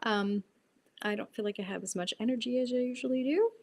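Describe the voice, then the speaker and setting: A woman's voice: fairly high pitch, fairly quick, a little mellow. A woman co-hosting a casual live-streamed talk, playful and teasing, laughing often. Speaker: co-host.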